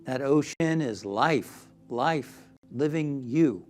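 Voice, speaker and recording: A man leading a guided meditation as a minister, gentle and soothing, often softening toward a whisper. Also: medium pitch, lively intonation, takes it slowly, faint music behind.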